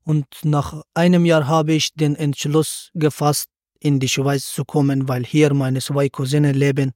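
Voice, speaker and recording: A man's voice: on the high side, level tone, measured pace, darkish tone, no echo, studio quality. A man, a student guest giving earnest, prepared answers on a school podcast. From Afghanistan. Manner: earnest, reads prepared sentences with some fillers.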